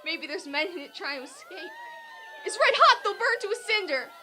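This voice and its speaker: Radio drama bystander, female, voiced by an actress: extremely high, shrill, frantic and bossy, shouting over noisy ambience. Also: big pitch swings, moderate pace, exaggerated voice. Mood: alarmed. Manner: shrill, shouted, repetitive warnings. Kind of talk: radio play acting.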